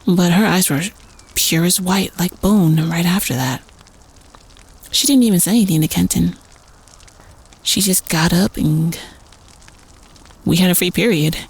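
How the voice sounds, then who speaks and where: Husky, creaky student telling spooky tales, played by a woman. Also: fairly low pitch, a little slow, pauses often, exaggerated voice. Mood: eerie, suspenseful. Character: teenage prep-school student telling a spooky campfire tale. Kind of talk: casual campfire storytelling in audio roleplay.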